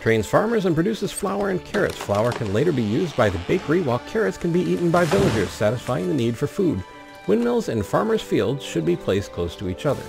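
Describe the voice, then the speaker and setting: A man's voice: a little high, big pitch swings, fairly quick, creaky, music in the background. A man hosting a gaming video, commenting on play and reading the game's story text aloud. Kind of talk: let's play commentary mixed with reading in-game narration aloud.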